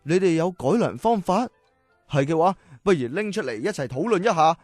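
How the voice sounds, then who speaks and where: A fairly high-voiced, theatrical gentleman inventor from the past, acted by a man, recorded dry. He is earnest, excitable and easily flustered, made for a children's audio drama with faint music. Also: normal speed, no echo. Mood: eager, worried.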